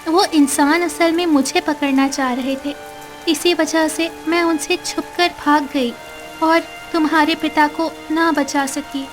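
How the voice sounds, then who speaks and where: A woman voicing a gentle young mermaid, sweet and earnest, in a dramatised cartoon story. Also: sits high, steady tone, unhurried, over music. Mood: earnest, defensive. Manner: earnest, pleading, sweet-voiced.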